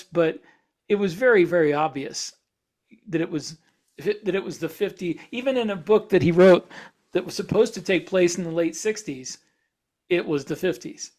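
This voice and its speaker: A man's voice: sits high, darkish tone. A man co-hosting a podcast in casual discussion, talking discursively and reasoning his way through examples. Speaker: podcast co-host.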